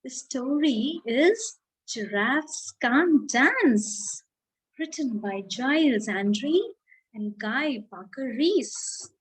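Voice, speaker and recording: High-pitched, slow-speaking Indian woman storyteller. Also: animated, breathy edge, rough recording. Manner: slow, deliberate, child-directed delivery with drawn-out words. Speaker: children's storyteller and therapist. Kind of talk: expressive read-aloud for children.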